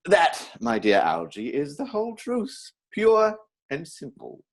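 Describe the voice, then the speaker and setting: A man playing an earnest, easily exasperated young English gentleman in a stage comedy reading, stuffy and emphatic. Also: fairly high pitch, wildly swooping pitch, a little slow, exaggerated voice. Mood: confessional, stiff.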